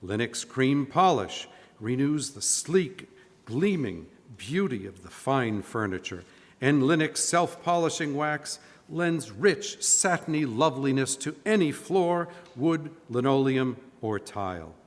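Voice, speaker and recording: A man's voice: medium pitch, big pitch swings, slow pace, heavily acted. A man playing an old-time radio announcer, delivering scripted sponsor copy and dramatic narration in 1940s style. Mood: salesmanlike. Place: church, live stage performance. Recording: live in echoey hall.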